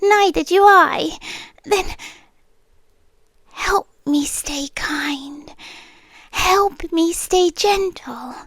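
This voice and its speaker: A woman's voice: sits high, no echo, exaggerated voice. A British woman playing a centuries-old possessive siren girlfriend in an ASMR roleplay, tender yet menacing, often sinking into whispers. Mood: pleading, possessive. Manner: slow, hushed, dropping often into whispers. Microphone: close, dry microphone.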